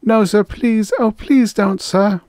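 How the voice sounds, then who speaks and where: Man voicing a high-pitched, stammering, terrified coward. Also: fairly even intonation, slow, darkish tone, no room sound. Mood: begging, terrified. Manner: stammering, pleading, servile. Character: terrified, pleading, cowardly male hunting guide.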